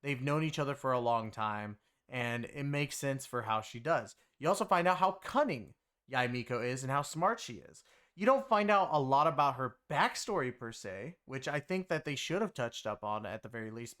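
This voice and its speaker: Clear-voiced man in casual conversational review. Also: mid-range voice, expressive, normal speed, fairly bright tone. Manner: rambling, conversational, frequent filler phrases like "you know". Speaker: game reviewer and content creator. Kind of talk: casual video game story review.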